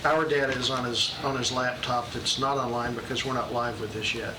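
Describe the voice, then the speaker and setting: Plainspoken man in a roomy hall. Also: medium pitch. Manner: plainspoken, halting. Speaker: county official. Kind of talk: committee meeting discussion. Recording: roomy hall, distant mic, steady noise.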